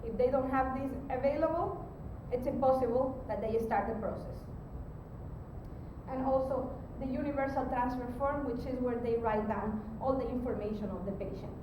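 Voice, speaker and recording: A woman giving an explanatory technical presentation as a conference speaker, pausing hesitantly mid-sentence. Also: fairly even intonation. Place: conference room, recorded from a distance. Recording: very echoey, distant mic, rumbling background noise.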